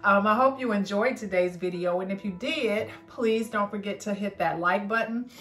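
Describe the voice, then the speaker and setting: A woman's voice: mid-range voice, moderate pace, a bit bright. A middle-aged woman chatting casually to her viewers in a clean-with-me home vlog, filmed in her bedroom with a camera in front of her. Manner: chatty, friendly direct address to viewers. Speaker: lifestyle vlogger. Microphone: camera in front at close range. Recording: roomy bedroom echo with faint music.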